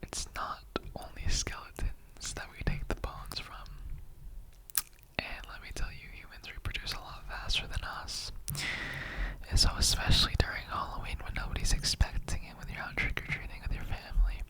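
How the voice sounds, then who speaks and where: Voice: normal speed, poor audio. Whispering ASMR skeleton character, rambling and casual. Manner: rambling, conversational, slangy. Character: casual, mildly offended skeleton interrogating humans on Halloween. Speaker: ASMR roleplay creator.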